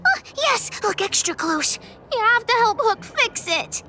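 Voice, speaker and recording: Earnest little girl for a video game: piercingly high, bright, childlike, music behind. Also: sing-song, a little slow, heavily acted. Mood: urgent, insistent. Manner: speaks of herself in third person, childish.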